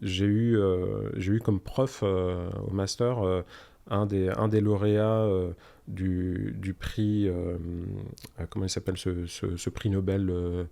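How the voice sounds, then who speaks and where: A man's voice: deep voice, flat delivery, slow, gravelly, dark tone. A man in his forties from Canada, chatting informally as an interview guest and explaining his points with examples. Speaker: startup cofounder guest. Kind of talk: casual conversational interview.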